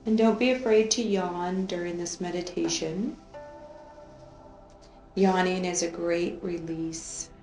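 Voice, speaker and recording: Slow, creaky-voiced woman leading meditation. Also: fairly low pitch, fairly even intonation. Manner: soothing, gently drawn-out with repeated phrases. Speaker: guided meditation leader. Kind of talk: slow guided meditation, unscripted. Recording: roomy echo, faint music underneath.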